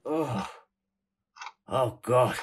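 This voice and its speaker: A man's voice: mid-range voice, expressive, very slow, husky, exaggerated voice. An actor playing a groggy, foul-mouthed man who has just come round in an audio drama, sluggish and blunt. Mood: dazed, groggy. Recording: noisy track with clicks.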